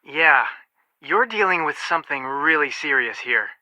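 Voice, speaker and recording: A level-headed young male detective from a video game. His voice is a bit high and very bright, with lively pitch movement. He speaks earnestly and articulately. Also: unhurried, dry recording, highs cut off, heavily acted. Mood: serious, warning. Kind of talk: video game phone dialogue.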